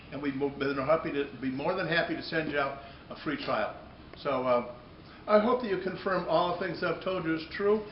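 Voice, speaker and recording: Halting salesman in an echoey room. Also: on the high side. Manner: halting, repeats and rephrases phrases. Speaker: company presenter demonstrating a product. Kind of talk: unscripted product demonstration pitch. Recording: echoey room, dull muffled audio, noticeable background noise.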